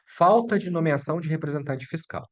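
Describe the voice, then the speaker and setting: A man's voice: a little high, fairly quick. Brazilian man explaining law, chatty and direct. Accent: Brazilian Portuguese. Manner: chatty and direct, addresses viewer as "tu" and "meu filho". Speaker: immigration lawyer. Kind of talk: casual explainer video.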